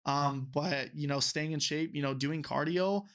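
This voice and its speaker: A man's voice: fairly high pitch, unhurried, a bit bright. A man in his twenties, a referee and teacher, talking casually as a guest in an interview and rambling with lots of fillers. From United States. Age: 20s. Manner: chatty, rambling, many "you know" fillers.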